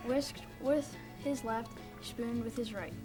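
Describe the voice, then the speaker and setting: Very high-voiced woman reading a story. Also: steady tone, slow. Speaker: co-reader of a storybook. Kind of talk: children's storybook read aloud. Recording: music playing behind the voice.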